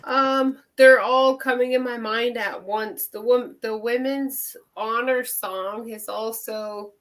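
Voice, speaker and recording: A woman with a raspy, hoarse voice from a cold, chatting slowly and chuckling while leading a drumming circle on a video call. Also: on the high side, fairly even intonation. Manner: slow, chuckling, unhurried. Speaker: drumming circle song leader. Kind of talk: casual chat and hand-drum singing. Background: hand drum.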